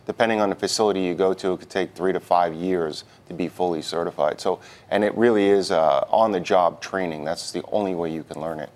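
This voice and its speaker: A man with a low voice speaks as an interview guest on a news broadcast. He talks in a halting way, often repeating a word before moving on. He sounds like an organization's representative giving answers. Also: a little mellow. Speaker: union president, interview guest.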